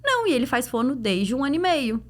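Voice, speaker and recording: Casting: Brazilian Portuguese-speaking woman, slightly high voice, clinical guest on a podcast, explanatory and conversational. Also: big pitch swings, quick pace. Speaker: guest, pediatric dental clinician. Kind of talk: conversational podcast interview answers.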